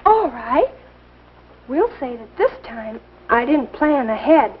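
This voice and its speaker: An outspoken, teasing teenage girl in an old classroom film. She speaks high and with very lively, pointed intonation. The sound is muffled and dated. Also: slow pace, husky, full character voice. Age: young adult. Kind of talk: 1950s instructional film acting.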